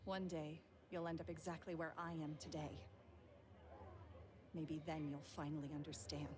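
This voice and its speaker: Woman playing a low, bitter female thief. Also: level tone, normal speed, background noise, natural voice. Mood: bitter, accusing. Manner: pointed, challenging. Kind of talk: video game voice acting. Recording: music clearly behind the voice.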